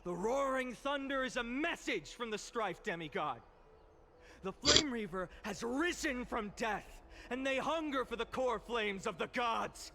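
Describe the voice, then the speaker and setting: A man's voice: extremely high pitch, expressive, unhurried, noisy background, full character voice. A man playing an impassioned young orator in a video game, addressing an assembly with emphatic, eloquent, theatrical rhetoric. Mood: grave, urgent. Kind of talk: dramatic theatrical game voice acting, public oration.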